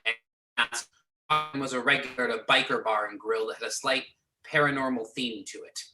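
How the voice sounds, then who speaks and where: A man's voice: mid-range voice, fairly even intonation, brisk, sharp tone. A man playing a businesslike agency boss briefing agents, reading in an amateur readers theater over a video call. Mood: matter-of-fact. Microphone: video or conference call. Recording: echoey room over video conference.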